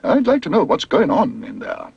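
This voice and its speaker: Bossy no-nonsense woman, played by a man, high and fast, very animated, dry close mic. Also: vocal fry, slightly rough, no room sound, dull audio, heavily acted. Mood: brisk. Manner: quick, bossy, high-pitched. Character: brisk, sharp-tongued woman. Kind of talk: storybook reading.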